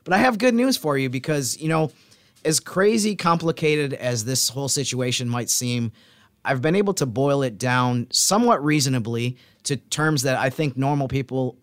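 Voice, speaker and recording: Male sports analyst, medium pitch, casual methodical explanations, dry room-free recording. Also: moderate pace, no echo. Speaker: podcast co-host, stats analyst.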